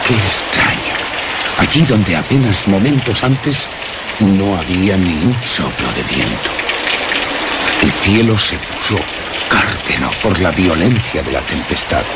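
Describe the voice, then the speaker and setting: Deep, slow, solemn demon narrator. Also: male voice, very noisy. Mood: ominous, intense. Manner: solemn, deliberate, declamatory. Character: brooding male demon narrating his curses, solemn and sinister. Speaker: radio drama narrator. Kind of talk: dramatic horror radio theatre narration.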